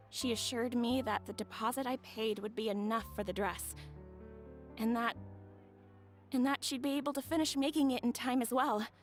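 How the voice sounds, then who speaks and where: A woman's voice: a little high, close to an everyday voice. A young woman playing a sweet, humble, grateful bride-to-be in a video game.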